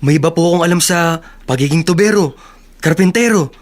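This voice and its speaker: A man's voice: a little high, fairly quick, natural voice. An actor playing a humble, eager young working-class man, hesitant and deferential, in a Tagalog radio drama. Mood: eager, nervous.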